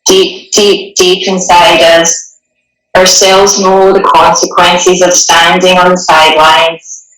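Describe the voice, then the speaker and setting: A woman guiding a meditation in a gentle, soothing, unhurried way, with long pauses between phrases. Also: fairly low pitch. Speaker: meditation guide. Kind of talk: guided meditation, partly reading a passage. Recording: echoey room, distant microphone.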